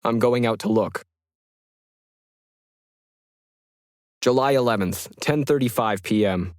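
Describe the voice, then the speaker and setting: A man narrates as a solitary 1950s ham radio hobbyist recounting his journal for an audio story. The delivery is measured, methodical and reflective. The pitch is medium and the sound is dry, with no room around it. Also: no echo, natural voice. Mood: weary, wondering. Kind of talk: scripted first-person journal narration.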